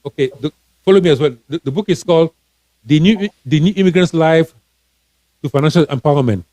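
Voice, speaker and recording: A fairly high-voiced man from Cameroon coaching listeners on money in an earnest, encouraging way, often stumbling and repeating words. His voice sounds close and dry, with no room around it. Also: brisk, warm tone, no room sound. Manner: repetitive, restarting, earnest and encouraging. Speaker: financial coach, author. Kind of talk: casual live-stream advice talk.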